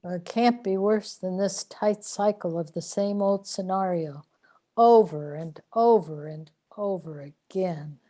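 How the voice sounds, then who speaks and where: A woman's voice: on the low side, slow, dark tone. A woman playing a weary, plaintive immortal seeker in a sci-fi radio drama. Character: weary immortal seeker trapped in repeating lives.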